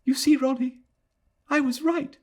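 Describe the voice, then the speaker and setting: Man putting on an anxious, very high-pitched young Englishwoman. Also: fairly even intonation, unhurried, a little mellow. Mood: insistent. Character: earnest, anxious young Englishwoman, pleading and apologetic.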